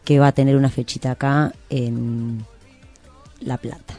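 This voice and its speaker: A woman's voice: a bass voice, steady tone, measured pace, dark tone. A woman with an Argentine Rioplatense accent hosting a radio show in a casual, rambling, playful chat. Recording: background music. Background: music.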